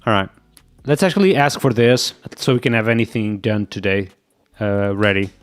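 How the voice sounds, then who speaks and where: A man's voice: fairly low pitch, normal speed, clear, resonant tone, soft background music. Portuguese-accented man thinking aloud. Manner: mutters to himself, repeats "yep" as he reads. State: sick. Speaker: live-coding streamer. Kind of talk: thinking aloud while coding, chatting with viewers.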